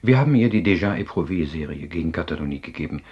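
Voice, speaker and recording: A man playing a self-assured psychiatrist in a radio drama, explaining in a measured, courteous, husky lecture. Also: deep voice, animated, a little mellow, lo-fi sound. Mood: assured, explanatory. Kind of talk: German radio drama acting.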